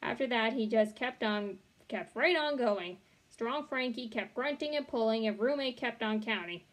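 A woman's voice: a little high, moderate pace. A young woman reading a children's chapter book aloud expressively, with occasional stumbles and self-corrections. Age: young adult. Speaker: read-along storyteller. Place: small bedroom, indoors.

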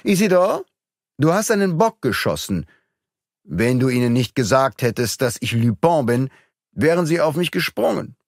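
A man voicing a boastful, mocking gentleman thief in an audiobook, with a theatrical, taunting manner. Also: mid-range voice, very animated, moderate pace, dry recording, clean studio sound, voice pushed up. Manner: taunting, theatrical, mock-friendly.

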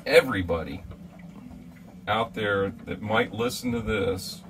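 A man's voice: fairly low pitch, sing-song, measured pace, vocal fry, fairly bright tone, recorded from a distance, a little hiss or hum. An older man, a retired police officer, telling stories in a chatty, enthusiastic way with many fillers, inside a small boat cabin. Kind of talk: casual storytelling chat.

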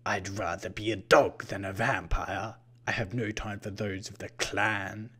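Man voicing a husky, low, menacing monster. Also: lively intonation, a bit bright, full character voice. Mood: cold, disdainful. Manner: odd, deliberate way of talking with drawn-out phrases. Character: menacing, haughty purple-skinned vampire-like killer, male, unhurried.

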